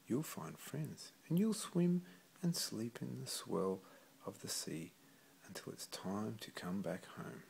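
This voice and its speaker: A man voicing a kindly, encouraging adult female puffin parent in a storybook, gentle and reassuring. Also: on the low side, big pitch swings, slow pace, gravelly, exaggerated voice, rough recording. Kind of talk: storybook character voice.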